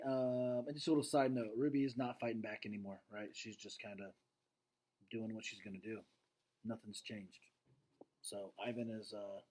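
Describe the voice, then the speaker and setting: A man's voice: medium pitch, darkish tone. A man talking casually as he recaps a storybook for listeners, often checking in with a tag question. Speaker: reader and teacher figure recapping a book.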